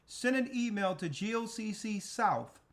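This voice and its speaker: A man hosting a church livestream as an elder, taking callers and giving brisk directions. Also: high voice, animated. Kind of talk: livestream call-in talk.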